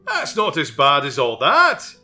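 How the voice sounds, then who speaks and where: A man's voice: on the high side, wildly swooping pitch, unhurried, pushed and bright, exaggerated voice. A man voicing a pedantic many-faced geometric male figure with a put-on Scottish accent, with faint guitar music behind. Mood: consoling. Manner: pedantic, exclaiming.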